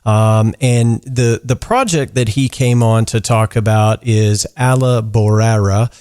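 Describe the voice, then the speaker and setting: A man hosting a podcast interview in relaxed, easygoing chat, often stumbling over words and filling pauses. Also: lowish voice, clear, resonant tone. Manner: easygoing, lots of fillers and restarts. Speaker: podcast host.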